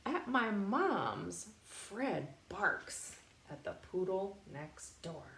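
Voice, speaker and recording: A woman reading a picture book aloud in a playful, expressive way, pausing to put questions directly to young children. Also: mid-range voice. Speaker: storytime reader for young children. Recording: roomy echo, faint background noise.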